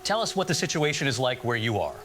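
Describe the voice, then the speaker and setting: A man playing a weary, steady radio host on an emergency frequency in an apocalyptic audio drama, speaking somberly. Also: medium pitch, quick pace, faint music behind. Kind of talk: scripted radio drama broadcast.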